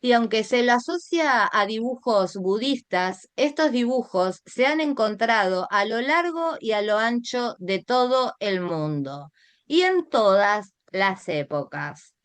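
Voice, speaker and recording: A middle-aged woman with an Argentine accent speaks as an art teacher introducing her online workshop. Her voice is smooth and clear, at a medium pitch, and she takes her time as she guides listeners with direct questions. The sound is dry, with very little room in it. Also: expressive, slow, no room sound. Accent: Argentine Spanish. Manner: guiding, addresses listeners directly with rhetorical questions. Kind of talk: introductory online class presentation.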